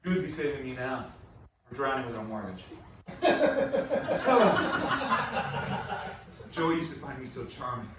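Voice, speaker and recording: A man's voice: mid-range voice, very animated, takes it slowly, long pauses. A man acting a wisecracking, needy amateur comedian and bar owner in a comedic table read. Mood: rueful, joking. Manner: jokey, defensive banter. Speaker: actor in a live table read. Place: live performance venue. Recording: distant, echoey, noisy live-venue recording.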